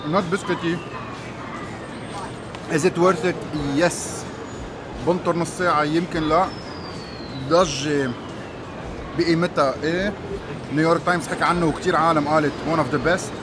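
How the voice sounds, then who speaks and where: Rapid-fire Lebanese man on a busy street. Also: fairly high pitch. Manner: animated, mixes Arabic with English. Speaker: food vlogger. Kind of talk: food review vlog. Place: city street outdoors. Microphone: handheld phone at arm's length. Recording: noisy street recording. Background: street traffic noise.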